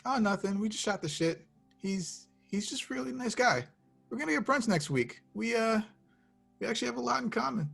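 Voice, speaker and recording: Man acting young male hero, husky, earnest, easygoing, conversational, table read, light music behind. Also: high voice, lively intonation, brisk, fairly bright tone, exaggerated voice. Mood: chatty. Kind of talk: table read of a film script.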